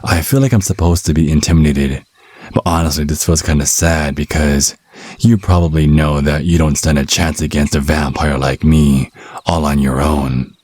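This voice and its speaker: A man playing a cocky, sardonic male vampire hunter in an ASMR roleplay, taunting with slow, deliberate phrasing. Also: steady tone, darkish tone. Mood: cool, threatening. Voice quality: husky, very deep. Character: cocky, taunting male vampire hunter, youthful but over a century old.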